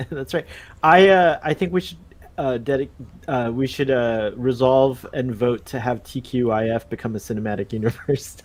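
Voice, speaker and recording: Man, medium pitch, casual host, inquisitive, light-hearted conversational delivery. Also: moderate pace. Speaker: show host. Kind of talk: casual chat.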